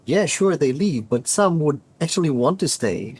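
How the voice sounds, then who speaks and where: A man's voice: a little high, expressive, moderate pace, slightly rough, no echo. A man, an engineering professor, chatting as a guest with short, matter-of-fact remarks. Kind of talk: casual conversation.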